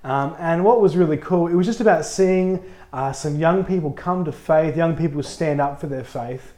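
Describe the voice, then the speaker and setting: A man who is a pastor, co-hosting a casual podcast, a little husky from a cold, upbeat and chatty. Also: fairly high pitch, moderate pace, darkish tone. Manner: upbeat, chatty, laughs easily.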